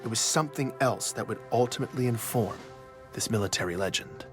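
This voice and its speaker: A man's voice: mid-range voice, fairly quick, soft background music, close to an everyday voice. An actor playing an earnest, easily awed male journalist who narrates his story in a scripted audio drama. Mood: earnest, reverent.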